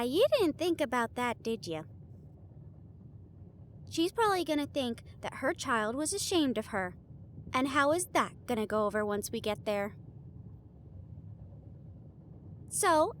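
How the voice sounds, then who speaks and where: A woman's voice: high-pitched, slight background noise. A woman playing an earnest, studious, slightly bossy young woman in a scripted audio roleplay, speaking in an organized, teasing, formal way. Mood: teasing, scolding.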